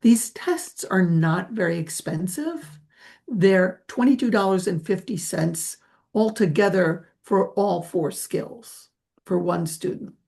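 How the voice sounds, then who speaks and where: Woman on a video call explaining test stuff, steady and patient. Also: fairly low pitch, takes it slowly, gravelly. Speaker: language testing presenter. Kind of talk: webinar explanation.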